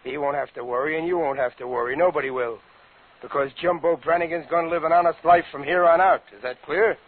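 A man's voice: a little high, fairly even intonation, fast, breathy edge. An actor playing a cocky, slangy ex-convict crook in his fifties in a 1950s radio drama. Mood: insistent, earnest. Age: 50s. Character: tough, wisecracking 51-year-old ex-convict crook. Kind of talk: 1950s radio drama acting and first-person narration. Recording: tinny, noisy old radio broadcast.

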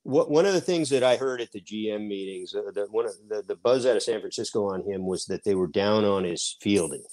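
A man's voice: lowish voice, a little fast, darkish tone, rough recording. A middle-aged man from Seattle, a baseball writer on a sports talk podcast, making his case emphatically and at length. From Seattle, USA. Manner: emphatic, argues a point at length.

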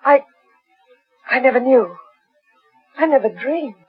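A woman's voice: sits high, animated, slow pace, soft background music. An actress playing a remorseful, defensive woman under suspicion, earnest and dramatic, in 1940s radio melodrama. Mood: shocked. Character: remorseful, defensive young woman suspected of murder. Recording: muffled, dull 1940s radio recording.

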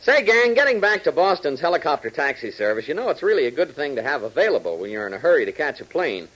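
A man's voice: a little high, sing-song, fast talker, fairly bright tone, low-quality recording. A man with an American accent reading scripted 1940s radio narration in a polished, dramatic announcer's delivery.

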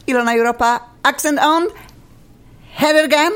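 A woman's voice: high voice, normal speed, a bit bright. A woman from Poland with a Polish accent, hosting a radio talk show in a bubbly, effusive way and laughing often.

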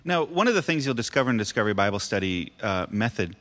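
A man's voice: fairly low pitch, very animated, fast talker, a bit bright, in a large hall. A man, a teaching pastor co-hosting a devotional podcast, explaining a Bible passage in plain, casual words. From United States. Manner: explaining, plain-spoken, laughs often.

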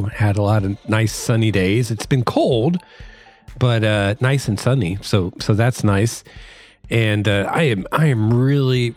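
A man from the American Midwest hosting his own podcast, talking in a relaxed, chatty way with many fillers and repeated words, his voice slightly hoarse from allergies. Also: mid-range voice. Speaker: podcast host. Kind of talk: casual solo podcast monologue.

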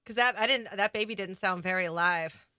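A woman co-hosting a podcast in casual chat, wry and quick to laugh. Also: medium pitch, sharp tone. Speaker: podcast co-host.

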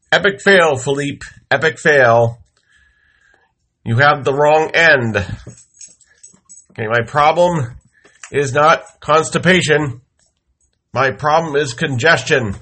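A man with a head cold hosts his show from a small studio and takes his time with each sentence. His tone is bright and forward, and he moves between wry deadpan and bursts of comic exasperation. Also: on the high side. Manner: slow, wry deadpan storytelling with exasperated outbursts. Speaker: podcast host. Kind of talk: comic monologue. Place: home studio.

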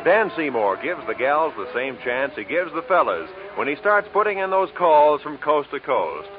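An American man reading scripted credits and promotions as an old-time network radio announcer. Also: fairly high pitch. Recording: thin, phone-like old radio recording.

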